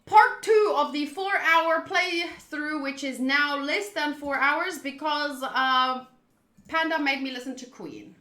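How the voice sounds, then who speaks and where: A woman game streamer with a high, bright voice. She chats and reads aloud theatrically, often drifting into asides, in a roomy, echoing space. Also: takes it slowly. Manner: chatty, theatrical, swerving into asides. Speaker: streamer playing a visual novel. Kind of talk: casual live playthrough commentary, reading aloud.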